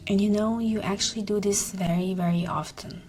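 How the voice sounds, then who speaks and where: A woman narrating a guided meditation in a soft, breathy, often near-whispered voice, soothing and gentle. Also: medium pitch, steady tone, moderate pace, a little hiss or hum. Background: meditation music.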